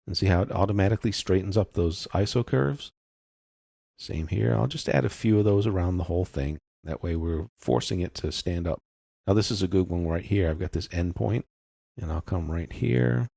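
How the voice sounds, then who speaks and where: A man narrating a step-by-step software tutorial in an informal, explanatory way. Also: low voice, normal speed, vocal fry, no echo, studio quality. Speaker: software instructor.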